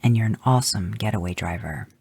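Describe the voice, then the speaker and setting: Gruff wisecracking hunter, low and hushed, very deep gravelly tone, read by a woman. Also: fast, dry recording, heavily acted. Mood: reassuring.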